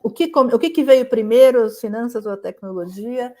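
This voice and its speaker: A woman's voice: fairly high pitch, brisk, a little mellow. Informal Brazilian woman interviewer. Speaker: interviewer, host. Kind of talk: casual conversational interview.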